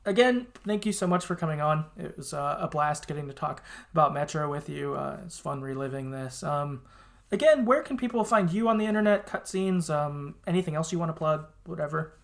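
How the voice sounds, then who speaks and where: A man's voice: a little high, lively intonation, a little fast, vocal fry. A man hosting a podcast in a casual, rambling and agreeable chat style with some hesitation. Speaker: podcast host.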